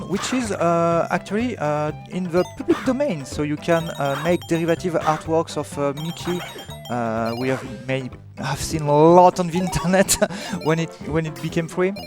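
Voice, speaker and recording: A young man from France with a French accent, hesitantly commentating his live speedrun of a game, with many fillers and restarts. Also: fairly high pitch. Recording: game music playing behind.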